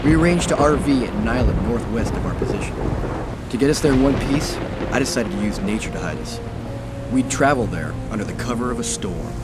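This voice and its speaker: A man's voice: medium pitch, expressive, fairly quick, natural voice. A man playing a confident, wry American navy commander who narrates his war in a video game. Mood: reflective, resolute. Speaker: narrator and protagonist. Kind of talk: scripted game narration and dialogue. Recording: music behind voice.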